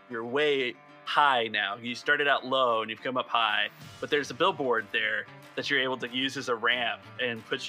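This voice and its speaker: A man working as game master, narrating improvised scenes descriptively and a little haltingly. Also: mid-range voice, pushed and bright.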